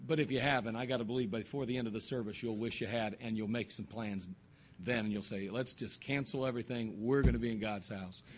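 Earnest pastor introducing a guest. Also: male voice, medium pitch, steady tone, fast talker, slight background noise. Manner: earnest, warm praise with fillers. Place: church.

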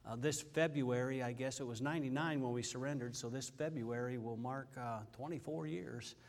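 A man's voice: medium pitch, fairly even intonation, moderate pace. A man in his sixties giving a chatty church testimony as a visiting missionary preacher, with lots of fillers and amens. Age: 60s. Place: church sanctuary.

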